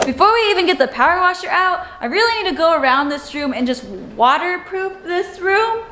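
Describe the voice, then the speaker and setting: Young woman vlogging into her camera, bubbly and chatty, pretty high voice, echoey basement w/ faint music. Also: lively intonation, a little fast, sharp tone. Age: young adult. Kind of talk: casual vlog narration. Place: concrete basement and car. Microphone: handheld camera at arm's length.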